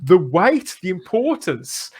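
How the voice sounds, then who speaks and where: A man's voice: sits high, very animated, slow, breathy edge. Man chatting as podcast host. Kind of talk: casual fan podcast discussion.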